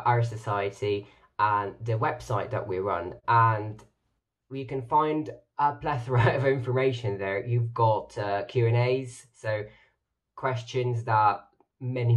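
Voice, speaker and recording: A man's voice: medium pitch, slow. A young man from Ireland, a native Irish speaker, giving casual advice to camera as a student in his bedroom. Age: young adult. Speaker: student society officer. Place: bedroom with bookshelves, indoors.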